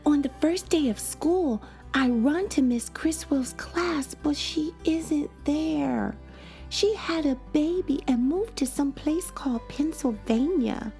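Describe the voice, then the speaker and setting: A woman voicing a wistful, earnest young schoolgirl in a storybook read-aloud, telling it gently and simply. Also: high-pitched, slow, gravelly, soft background music, pitched above the performer's own voice, exaggerated voice. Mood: wistful, hopeful. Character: wistful, earnest young schoolgirl narrating her sad life.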